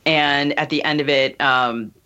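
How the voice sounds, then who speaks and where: Bright, low-voiced woman podcast host. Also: steady tone, a little slow, lo-fi sound. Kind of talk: casual interview conversation.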